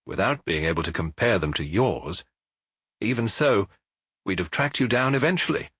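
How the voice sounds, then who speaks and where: A man voicing a weary older police detective who questions calmly and firmly, with measured compassion. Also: lowish voice, husky, no room sound, clean studio sound. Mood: probing.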